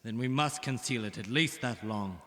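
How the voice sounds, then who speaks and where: A man's voice: mid-range voice, moderate pace, a bit bright, big-room sound, close to an everyday voice. An actor playing a grieving king who gives orders in a solemn, commanding way. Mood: grave. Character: grieving middle-aged king, stern and commanding.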